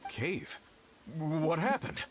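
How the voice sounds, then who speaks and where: A man's voice: on the high side, expressive, very slow, a little hiss or hum. A man playing an earnest, polite foreman of a mining crew in a fantasy game. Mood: concerned, relieved. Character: earnest, grateful male foreman of miners. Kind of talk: scripted fantasy game dialogue.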